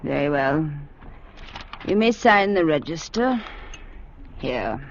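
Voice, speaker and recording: An actress playing a cryptic, eerie village innkeeper, measured and enigmatic, in 1940s radio drama style. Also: female voice, low voice, very animated, slight background noise, exaggerated voice. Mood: cool, guarded. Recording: muffled old radio recording.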